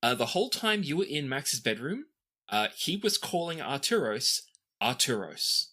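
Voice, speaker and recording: Bright-voiced man narrating as game master. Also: mid-range voice, sing-song, normal speed. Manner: second-person storytelling. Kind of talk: narrating a tabletop role-playing game.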